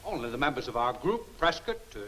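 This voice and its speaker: Bright, forceful, touchy businessman. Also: mid-range voice, very animated, fast talker. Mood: defensive, troubled. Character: touchy, earnest businessman behind a radio invention. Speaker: actor in a film serial. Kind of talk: 1940s film serial acting. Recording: hissy 1940 film soundtrack.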